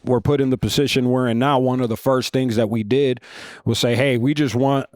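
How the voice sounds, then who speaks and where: A man in his thirties with a loud, deep voice, speaking bluntly and casually as an interview guest. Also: fairly even intonation, a little fast. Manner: blunt and frank, swears casually. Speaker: comedy club co-owner, guest.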